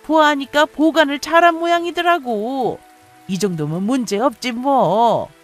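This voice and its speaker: A man's voice: extremely high pitch, a little slow, fairly bright tone, soft background music, voice pushed up. A man voicing a pushy, penny-pinching middle-aged mother-in-law in a radio story, coaxing and fussy. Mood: coaxing, self-satisfied. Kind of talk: dramatized radio story dialogue.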